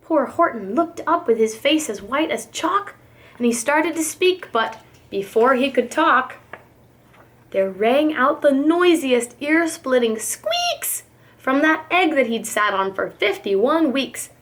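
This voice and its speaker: High-voiced woman reading a storybook. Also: very animated, takes it slowly, a bit bright. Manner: emphatic, rhythmic rhyming delivery. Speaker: preschool teacher reading aloud. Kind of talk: expressive storybook narration.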